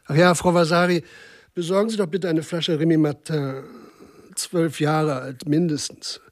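An actor playing an officious, courtly villa director in a radio drama, dictating in a formal, pompous manner. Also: male voice, a little high, fairly even intonation, moderate pace, no room sound, studio quality, lightly acted.

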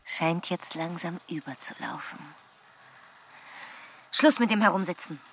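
An actress playing a wilful, excitable woman who relishes mischief, in a radio drama. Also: on the low side, measured pace, a little hiss or hum, natural voice. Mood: impatient. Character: Margarita, thrilled, wilful woman on a destructive rampage. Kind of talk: radio drama acting and narration.

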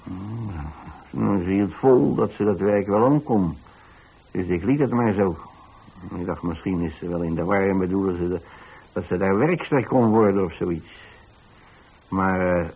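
Rambling old pensioner, lowish husky voice. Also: male voice, moderate pace, darkish tone, heavily acted, poor audio. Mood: musing. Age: older. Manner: hesitant, rambling, conversational. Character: kindly, rambling retired man recalling his lodgers. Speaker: radio actor, narrating lead. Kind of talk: radio play storytelling.

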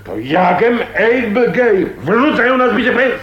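Blustering rustic older man for radio play; highish voice, mock-deferential, defiant, echoey room. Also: expressive, measured pace, lo-fi sound. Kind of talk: Polish radio drama acting. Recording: roomy echo, faint noise, 1980s radio drama.

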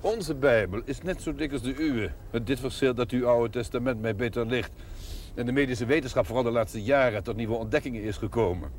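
A man's voice: medium pitch, fairly quick, natural voice. A man playing a wry, courteous country doctor who speaks formally and teasingly. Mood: self-assured. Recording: television drama with faint hiss.

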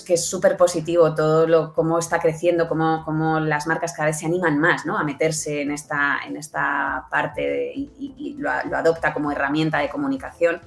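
A woman's voice: low voice, creaky. A woman with an accent from Spain speaking as an interview guest, explaining conversationally and often checking with short tag questions. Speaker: interview guest, audio fiction producer.

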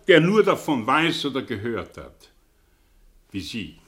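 A man's voice: on the high side, a bit bright, heavily acted. An actor playing a scornful, cryptic male teacher in a radio drama, declaiming emphatically and talking down to his pupil. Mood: patronising. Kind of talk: theatrical radio drama monologue.

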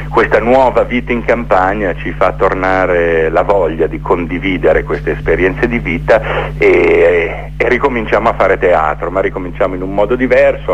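A man's voice: lowish voice, dull audio, a little hiss or hum. A middle-aged man telling his story conversationally in a radio interview, reflective, building up lists with repeated phrases. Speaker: theatre maker being interviewed.

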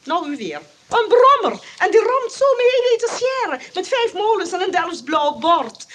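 An actress in a radio comedy playing a fussy, chatty, aggrieved shopkeeper who hesitates and keeps fishing for agreement. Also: female voice, very high-pitched, big pitch swings, moderate pace. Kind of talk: radio comedy drama acting. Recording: noisy old radio play recording.

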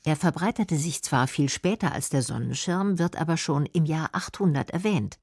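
Woman, low pitch, husky tone, scripted documentary narration, dry studio-style sound. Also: moderate pace, darkish tone, no room sound. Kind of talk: scripted radio feature narration.

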